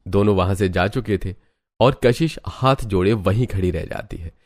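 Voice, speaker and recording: A man's voice: on the low side, normal speed, darkish tone, no room sound. A man narrating a scripted cartoon story.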